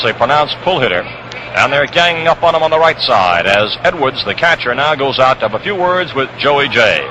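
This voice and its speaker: An American man calling baseball play-by-play on 1960s radio, measured, with suspenseful pauses, on a noisy old broadcast recording. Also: on the high side, muffled recording. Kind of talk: 1960s radio sports commentary. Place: ballpark broadcast position. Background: crowd hum, noise.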